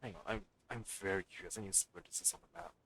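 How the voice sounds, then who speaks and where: A man's voice: fairly low pitch, big pitch swings, rapid-fire, vocal fry, fairly bright tone, husky. A man giving casual live game commentary, muttering and thinking aloud as he plays. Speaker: game streamer.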